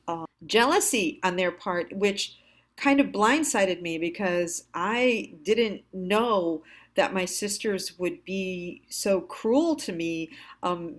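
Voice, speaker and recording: A woman hosting a confessional storytime, candid and self-deprecating, speaking haltingly with pauses. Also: lowish voice. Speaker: storyteller, host.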